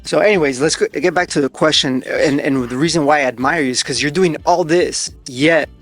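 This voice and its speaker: A man's voice: on the high side, brisk, a bit bright, soft background music. A man hosting a casual interview, talking in an effusive, informal way. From born in Argentina, raised in the US. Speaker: podcast host.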